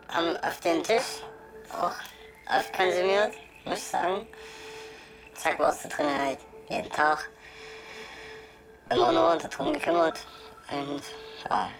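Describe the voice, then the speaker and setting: Female interviewee, deep-toned, casual and hesitant, tag questions, music underneath. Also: low-quality recording. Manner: colloquial, halting, trailing tag questions. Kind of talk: casual, candid interview talk.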